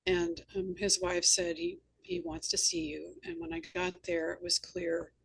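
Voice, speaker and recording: A woman with a low, gravelly voice talks as an interview host. She tells reflective anecdotes earnestly, stressing key phrases, with a husky grain to her delivery. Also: unhurried, rough recording. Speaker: host and novelist.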